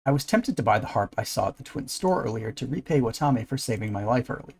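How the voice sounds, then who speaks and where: Animated man reading a game story aloud. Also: mid-range voice, fast talker, slightly rough. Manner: puts on character voices for dialogue. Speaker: streamer. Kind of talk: reading a visual novel aloud on a livestream. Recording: music under the voice.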